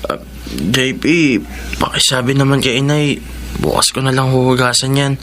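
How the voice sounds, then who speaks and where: An actor playing a meek, abused young son, hesitant and pleading, with a Filipino accent in radio drama style. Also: male voice, mid-range voice, lively intonation, moderate pace, a bit bright, slight background noise. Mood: weak, anxious. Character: meek, homely teenage son, abused pedicab driver.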